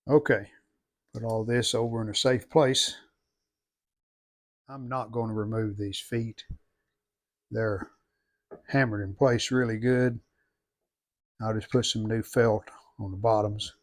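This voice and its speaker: A man's voice: fairly low pitch, fairly even intonation, takes it slowly, long pauses. A man narrating a hands-on restoration casually, thinking aloud in short, plainspoken phrases. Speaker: restoration video host.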